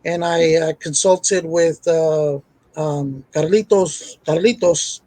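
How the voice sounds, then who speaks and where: A male wrestler guest recalling his start in a measured, hesitant way with many fillers. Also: fairly high pitch, fairly even intonation, dark tone, no room sound. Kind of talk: casual interview chat.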